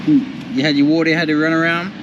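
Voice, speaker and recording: A man's voice: on the high side, steady tone, quick pace, dull audio. A young Australian man with an easygoing, chatty manner, vlogging his travels and talking to his dog and viewers. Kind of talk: casual travel vlog. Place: outdoors on a beach and in town. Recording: outdoor camera audio with loud background noise.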